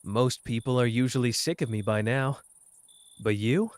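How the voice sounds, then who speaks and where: A man's voice: medium pitch, normal speed, dry recording, close to an everyday voice. A man playing a cocky young sorcerer who teases tenderly and talks informally, in an intimate roleplay performance. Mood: awkward, sincere. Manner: informal, hesitant when talking about feelings. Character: cocky young male sorcerer, teasing yet tender with his partner. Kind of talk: intimate roleplay audio acting.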